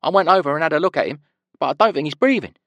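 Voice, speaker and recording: A sly male prisoner pushes his voice high and quavering, pleading like someone frightened out of his wits, as a narrator puts him on. Every line trembles with put-on panic. The sound is close and dry, with no room around it. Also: animated, very fast, fairly bright tone, no room sound, muffled recording. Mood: feigned alarm. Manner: terrified pleading. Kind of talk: audiobook character voice.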